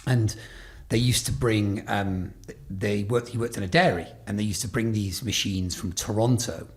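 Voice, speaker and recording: A man's voice: on the low side, normal speed. A man in his forties, raised in Scotland and England, hosting a podcast interview, telling rambling anecdotes with many restarts. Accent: faint Glaswegian, mostly lost, Stoke-on-Trent upbringing. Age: 40s. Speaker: podcast host. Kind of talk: casual conversational interview.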